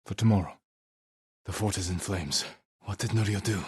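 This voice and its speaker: Grave samurai warrior, low voice, slightly husky, measured, terse, determined, video game dialogue. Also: male voice, a little mellow, dry recording, clean studio sound. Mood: earnest, steady. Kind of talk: dramatic game character dialogue.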